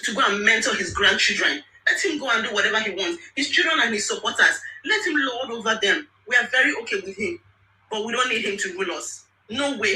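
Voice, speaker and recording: A woman's voice: on the high side, sharp tone. A woman from Lagos with a Nigerian accent, speaking emphatically and indignantly on a political topic in an online audio room, repeating words for force. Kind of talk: impassioned political rant. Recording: roomy, distant mic with faint noise.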